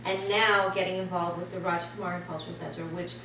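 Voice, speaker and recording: A woman's voice: lowish voice, level tone, quick pace. A woman interviewing a guest, prompting with questions and frequent short acknowledgments. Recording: roomy and distant, background noise.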